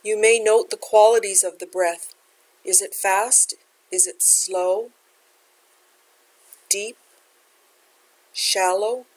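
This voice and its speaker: Woman gently guiding a meditation. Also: medium pitch, steady tone, takes it slowly, long pauses, rough recording. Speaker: meditation teacher. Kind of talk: guided meditation instruction.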